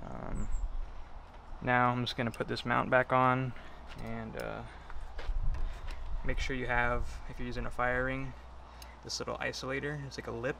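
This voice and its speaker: A man's voice: mid-range voice, level tone, moderate pace, vocal fry, bright, forceful tone, a little hiss or hum. A man giving casual, offhand hands-on how-to commentary, half muttering to himself as he works. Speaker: DIY vlogger installing a CB radio.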